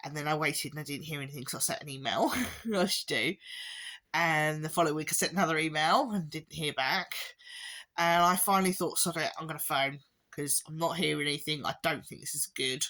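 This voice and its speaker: A woman with a hoarse, fading voice from a chesty cough, giving a rambling, casual update to her viewers with wry little laughs. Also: low-pitched, pushed and bright. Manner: rambling, with many ums and restarts, occasional wry laughs. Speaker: parent vlogger. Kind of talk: casual spoken update to camera.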